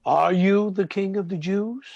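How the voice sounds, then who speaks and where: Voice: medium pitch, a little slow, vocal fry, darkish tone, no echo, lo-fi sound. A reader voicing a stern official who questions a prisoner, in a church scripture drama. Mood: questioning. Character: stern interrogating official: high priest and governor.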